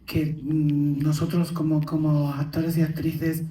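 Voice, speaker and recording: Madrid spanish accent woman, slow careful answers, flat dark tone, echoey theater. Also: low voice. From Madrid, Spain. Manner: slow, thoughtful, hesitant. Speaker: actor on a panel. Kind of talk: panel Q&A answer. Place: theater stage at a premiere.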